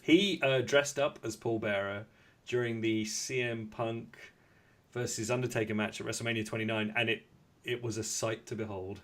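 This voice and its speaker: A man's voice: lowish voice, fairly even intonation, normal speed, fairly bright tone. A man hosting a casual wrestling podcast, talking in a jokey, rambling way with hesitant asides. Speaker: podcast host.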